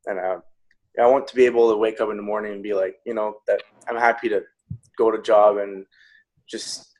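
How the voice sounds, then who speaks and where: A man's voice: fairly low pitch, steady tone, a little fast, a little mellow, poor audio. A young man student introducing himself, plain and hesitant with many ums. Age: young adult. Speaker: university student.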